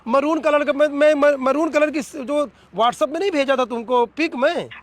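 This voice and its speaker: A man playing an eager, insecure young bridegroom in a comedy phone-call skit, sweet-talking haltingly. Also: very high-pitched, no room sound. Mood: fussy, pleading. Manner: hesitant, repetitive, coaxing.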